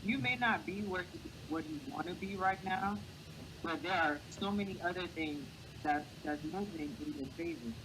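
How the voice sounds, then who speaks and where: A man with a light, boyish voice, speaking earnestly as a guest in a casual interview and giving encouraging advice. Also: normal speed. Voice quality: high, boyish voice. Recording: clear background noise.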